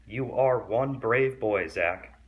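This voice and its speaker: A man reading aloud and voicing a quiet, grieving grandfather who speaks calmly and gently. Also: mid-range voice, slow, phone-quality sound, voice pushed up, natural voice. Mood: warm.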